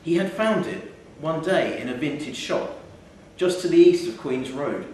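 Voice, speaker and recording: A man's voice: fairly high pitch, expressive, unhurried, a little mellow. Guy reading a story live, really echoey, mic far away, bit of hiss. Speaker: reader of a short story. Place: theatre venue, indoors. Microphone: distant from speaker. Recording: very echoey, distant mic, faint noise.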